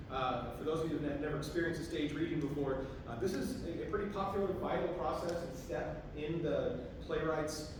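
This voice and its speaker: Higher-voiced man addressing a very echoey room. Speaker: theater instructor introducing the show. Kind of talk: informal spoken introduction to an audience. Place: indoor performance space. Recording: echoey room, distant mic, background noise.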